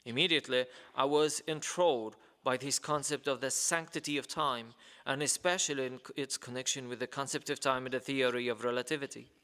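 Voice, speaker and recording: A man's voice: medium pitch, steady tone, brisk, hardly any pauses, pushed and bright, smooth. A man reading a letter aloud at a ceremony in a formal, hesitant way, with many fillers and stumbles over names. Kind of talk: formal speech read aloud. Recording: long reverb of a large hall.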